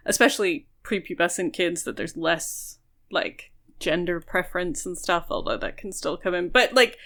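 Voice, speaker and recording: A woman's voice: on the low side, animated, moderate pace, fairly bright tone. Casual, emphatic woman podcast co-host. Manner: informal, emphatic, peppered with "like" and mild swearing. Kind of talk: casual book discussion chat.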